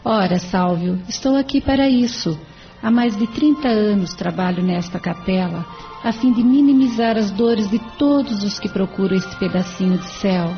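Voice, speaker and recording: A devoted guardian spirit, played by a woman, with a medium-pitched voice. She explains things formally and kindly in audio drama style, over faint music on a slightly muffled recording. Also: normal speed, lightly acted. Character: serene, devoted female spirit helper explaining her charity. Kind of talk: audio novela dialogue. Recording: dull sound with faint music.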